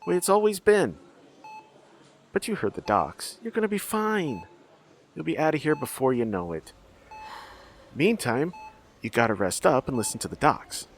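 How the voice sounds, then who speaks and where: A cocky, earnest teenage boy in an audio drama, protective of his mother. His voice is fairly high and very lively in pitch, with boyish, defensive eagerness. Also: normal speed, long pauses, slight background noise. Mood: reassuring.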